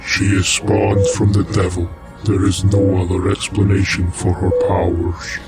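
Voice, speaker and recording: A man's voice: low voice, flat delivery, measured pace, over loud music. A man voicing an ancient demonic entity that possesses a human host, speaking in solemn, archaic, commanding tones. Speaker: villain. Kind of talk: indie audio drama acting.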